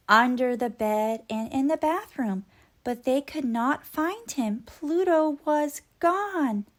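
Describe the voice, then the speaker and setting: A woman giving an expressive read-aloud narration of a children's storybook. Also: fairly high pitch. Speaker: storybook reader.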